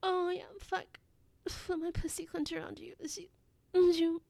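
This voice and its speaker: A woman playing an amorous, playful lover in an intimate adult audio roleplay, breathy and often slipping into whispers. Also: on the high side, fairly even intonation, measured pace, full character voice. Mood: aroused, breathless.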